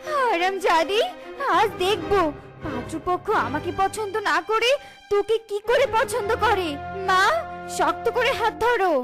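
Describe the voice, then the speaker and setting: A woman's voice: very high voice, expressive, a little slow. A woman voicing a spoiled, bullying young bride-to-be in a cartoon, whiny, haughty and demanding. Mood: vengeful fury. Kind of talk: exaggerated cartoon voice acting. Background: playful jingly children's music.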